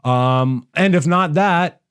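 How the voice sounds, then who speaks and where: A man's voice: a little high, takes it slowly, fairly bright tone, clear, resonant tone. A man from Canada, a stand-up comedian hosting a podcast, talks in a casual, blunt, slangy rant full of swearing. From raised in Canada.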